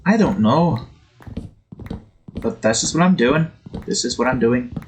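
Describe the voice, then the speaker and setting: A man's voice: mid-range voice, expressive, measured pace. A man hosting a game video with casual, rambling commentary in a put-on Scottish accent that wavers. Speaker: gaming video host. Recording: faint background noise.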